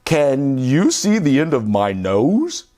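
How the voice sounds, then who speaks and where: A woman's voice: extremely low pitch, big pitch swings, slow pace, dark tone, full character voice. A woman voicing a curious, friendly bear in a children's storybook, with a simple questioning manner. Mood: wondering.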